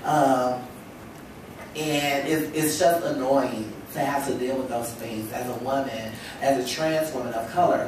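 A woman's voice: very deep voice, noisy background. A young woman with a stuffy cold, speaking emphatically on a panel in a lecture hall, at a table microphone. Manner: emphatic, rhetorical, lots of "you know". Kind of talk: impassioned panel talk. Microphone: table stand mic. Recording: echoey hall, mic from far off.

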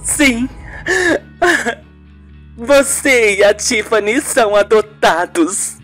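A woman's voice: mid-range voice, slow pace, faint music behind, close to an everyday voice. A woman voicing an anguished, tearful aunt with startled exclamations in a radio soap. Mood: shocked, tearful. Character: anguished, tearful aunt hiding a family secret. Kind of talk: amateur radio soap acting.

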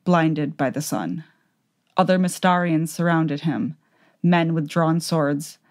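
Low-voiced woman narrating fantasy. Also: darkish tone. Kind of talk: scripted fantasy audiobook narration.

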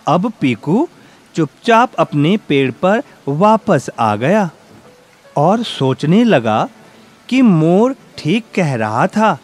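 Guy reading a kids story, high voice, really lively, music behind. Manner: slow, expressive storytelling. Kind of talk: scripted children's story narration.

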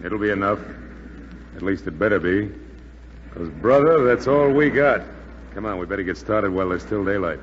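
A steady, weary commander of a stranded aircrew, acted by a man, speaking fairly low and clipped. It is old radio drama, muffled and very reverberant. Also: normal speed, pauses often, lightly acted. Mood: steady, determined. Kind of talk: 1940s radio drama acting. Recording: muffled, noisy, reverberant 1940s radio recording.

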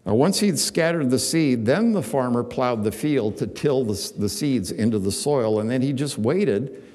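Older male church elder, mid-range voice, measured teaching sermon, long hall reverb. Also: medium pitch, lively intonation, a little mellow. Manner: measured, plain teaching delivery with small restarts. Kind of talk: sermon with scripture reading. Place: church stage behind a lectern, indoors.

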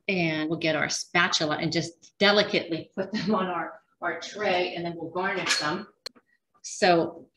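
A woman's voice: on the low side, a bit bright, poor audio. A woman teaching a casual cooking demonstration, chatty and rambling with frequent ums and restarts. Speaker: dietitian cooking instructor.

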